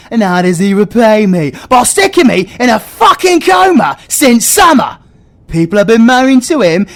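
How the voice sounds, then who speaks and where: A man's voice: very high voice, pitched above the performer's own voice, full character voice. A man voicing a foul-mouthed, sarcastic British supervillain in a comedic audio roleplay, ranting theatrically. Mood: indignant.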